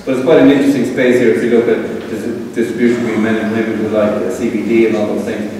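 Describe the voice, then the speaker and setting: A man's voice: on the low side, fast, a little hiss or hum. Irish man presenting in an echoey hall. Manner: relaxed, conversational, frequent fillers. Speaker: tech presenter. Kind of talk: conference talk with live demo. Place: event hall with seated audience. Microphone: room pickup from far camera. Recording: roomy hall echo from far off.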